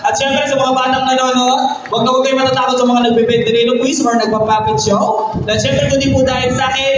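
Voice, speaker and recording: A man's voice: extremely high pitch, level tone, fairly quick. A man with a Filipino accent doing teasing stage comedy patter as a ventriloquist, prompting his puppet with questions. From Philippines. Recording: muffled, noisy, echoey hall from far off.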